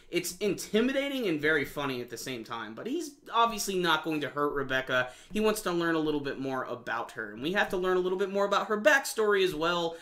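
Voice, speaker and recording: Bright, higher-voiced man reviewing anime. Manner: casual fan talk with slangy emphasis. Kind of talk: enthusiastic video review commentary.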